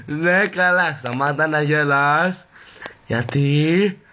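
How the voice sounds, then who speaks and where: A man's voice: a little high, bright, forceful tone. A man voicing a sassy, vain, vulgar woman in an amateur comic parody dub, with campy, exclaiming delivery. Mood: mocking, sassy. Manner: campy, swooping, crude, exclaiming. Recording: muffled, noisy home recording.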